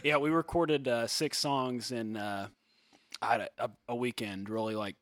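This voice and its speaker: A soft-spoken man in his thirties chats on a podcast in a fairly high, gentle voice. He rambles, restarts his sentences and leans on fillers. The sound is close and dry, with no room to it. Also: steady tone, unhurried, dry recording. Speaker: musician and podcast co-host. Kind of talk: casual podcast chat.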